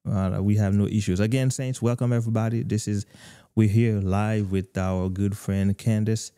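A young man hosting a live faith talk show over a video call, preaching earnestly with many filler pauses, on a studio microphone. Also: fairly low pitch, fairly even intonation, mellow, clean tone, no room sound. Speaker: host. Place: home room, video call. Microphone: studio mic with headphones.

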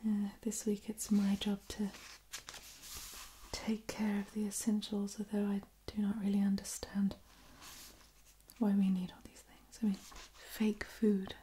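A woman's voice: mid-range voice, monotone, a little slow, mellow, a little hiss or hum, lightly acted. A woman playing a puzzled, literal-minded undead vampire, musing hesitantly to herself in a whispered ASMR roleplay.

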